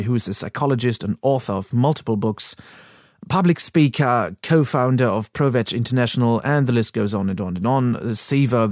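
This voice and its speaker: A man's voice: mid-range voice, darkish tone, no echo, clean studio sound. A man whose first language is German, hosting a podcast and introducing an episode in a chatty, self-deprecating, rambling way. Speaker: podcast host.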